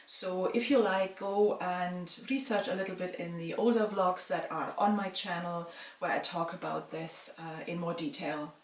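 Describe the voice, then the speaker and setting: A middle-aged woman from Germany calmly answers viewer questions in a casual vlog, pausing with frequent ums. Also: on the low side, distant mic. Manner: calm, measured, with frequent ums. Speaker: vlogger. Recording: roomy sound from away from the mic.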